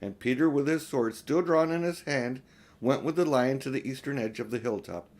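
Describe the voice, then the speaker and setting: An older man reads a storybook aloud in a middle-pitched voice. His delivery is homely and unpolished, an amateur storyteller at ease with the page. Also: moderate pace, darkish tone. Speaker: audiobook reader. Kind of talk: storybook reading with informal asides.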